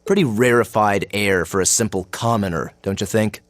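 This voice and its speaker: An actor playing a haughty young noble student in a video game, with a measured, coolly sardonic and disdainful delivery. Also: male voice, on the low side, dry recording, close to an everyday voice. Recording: music behind the voice.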